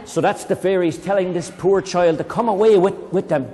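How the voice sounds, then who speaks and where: An Irish man reading a poem aloud to children, reciting slowly and dramatically and breaking off into playful, chatty explanations. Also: sits high, mellow. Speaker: poetry reader leading children. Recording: long reverb in a hall.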